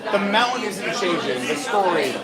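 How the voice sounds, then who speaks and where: A man's voice: high-pitched, animated, normal speed. An American man from Long Island chatting casually as he hosts a live vlog stream. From Long Island, New York, USA. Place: busy public indoor resort space. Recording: loud crowd in large indoor space. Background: loud crowd chatter.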